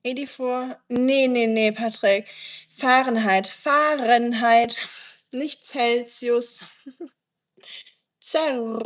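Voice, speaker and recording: Streamer: woman, German accent, native German speaker, rambling, chatty, fairly high pitched. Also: fairly even intonation. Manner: rambling and chatty, quick asides, teasing. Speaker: livestreamer. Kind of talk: casual livestream chat answering viewers.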